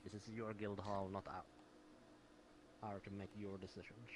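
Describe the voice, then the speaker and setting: A man playing a solemn, wary guild adventurer in an online fantasy role-play, speaking in a measured, formal way. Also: lowish voice, level tone, close to an everyday voice. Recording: noisy online voice-chat audio.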